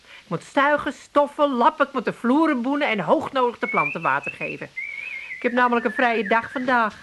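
A woman's voice: a little high, animated, brisk. Curt, unimpressed woman at home, hissy recording. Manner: dismissive, dry, clipped. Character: curt, unimpressed working daughter-in-law or daughter, busy housewife. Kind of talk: 1980s comedy radio drama acting. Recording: hissy radio drama recording.

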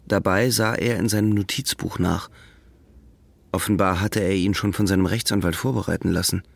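A man's voice: fairly low pitch, vocal fry, no echo. A man narrating a scripted German audio drama as its storyteller.

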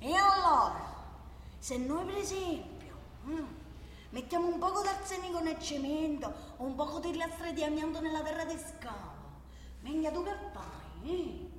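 A woman's voice: sits high, expressive, unhurried, fairly bright tone, a little hiss or hum. A woman playing a bullying, mock-polite male Sicilian mafia boss in a satirical radio drama, menacing and wheedling, with frequent questioning interjections. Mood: sly pressure. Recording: echoey hall, distant mic, faint music.

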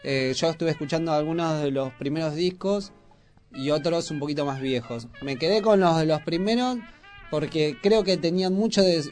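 Argentine guy from buenos aires accent, highish voice, chatty radio host, kinda halting, music in the background. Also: a little mellow. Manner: hesitant, informal explaining. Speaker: radio show presenter. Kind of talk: casual music talk on radio.

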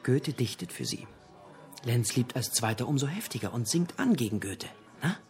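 An actor playing a talkative literature buff in a radio drama, lecturing theatrically in pithy, punchy fragments. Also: male voice, medium pitch, big pitch swings, normal speed, a little mellow. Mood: relishing, theatrical. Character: talkative literature buff holding forth in a pub.

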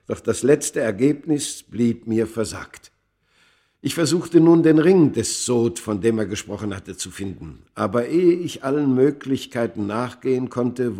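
A male actor playing a tormented, world-weary immortal from ancient Egypt in a radio play, speaking with grave, deliberate, literary delivery. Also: medium pitch, dark tone, close to an everyday voice. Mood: anguished. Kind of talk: dramatic first-person radio play narration.

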